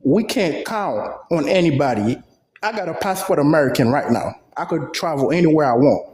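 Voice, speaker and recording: A man's voice: on the high side, animated, normal speed, a little mellow. A man from Haiti on a panel call, making long earnest points in a debate. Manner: earnest, speaks at length in long run-on points. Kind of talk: impassioned debate. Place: at work. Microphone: headphones on a phone call.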